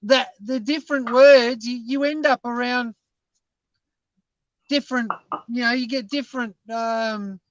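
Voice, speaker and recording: High-voiced man with a mixed British Isles accent. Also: steady tone, measured pace, long pauses, dry recording, dull audio. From Scotland. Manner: chatty, meandering, self-deprecating. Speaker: guest caller. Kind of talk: casual chat.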